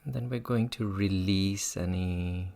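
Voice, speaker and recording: A meditation teacher gently guiding listeners through a practice in an unhurried way. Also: low voice, vocal fry. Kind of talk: guided meditation talk.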